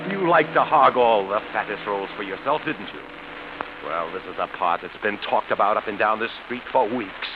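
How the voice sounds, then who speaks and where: A man's voice: fairly low pitch, lively intonation, moderate pace, natural voice. A man playing a scheming, theatrical actor, hissy old radio. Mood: gloating menace. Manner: theatrical, insinuating, savoring his words. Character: embittered, scheming actor, falsely genial, quietly menacing. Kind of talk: 1940s radio suspense acting. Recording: muffled, hissy vintage radio recording.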